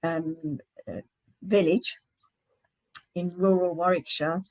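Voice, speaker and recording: A woman's voice: fairly low pitch, steady tone, darkish tone, no echo, poor audio. Hesitant woman, dry close sound. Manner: hesitant, halting, many restarts. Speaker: guest. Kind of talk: casual discussion.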